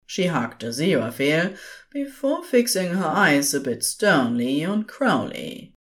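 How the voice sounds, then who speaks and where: A woman narrating scripted fan fiction as an expressive storyteller. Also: sits low, measured pace.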